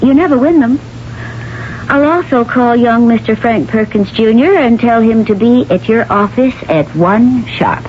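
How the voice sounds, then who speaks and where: An actress playing a brisk, firm engineer's wife with a dry edge in a 1970s radio drama. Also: female voice, fairly high pitch, natural voice. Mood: needling. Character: engineer's wife, brisk, sharp-tongued adult woman. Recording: muffled old radio broadcast, faint hiss.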